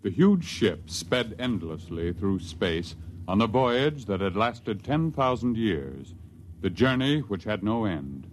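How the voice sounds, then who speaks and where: Low-voiced 1950s radio narrator. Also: male voice, very animated, slight background noise. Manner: measured storytelling.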